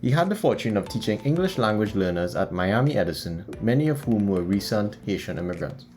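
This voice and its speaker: An upbeat man hosting a podcast, his voice sitting a little low. He moves from smoothly reading a guest's biography to friendly chat with a few fillers. Faint music plays under him. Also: very animated, brisk, a little mellow. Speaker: podcast host. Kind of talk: scripted intro then casual interview.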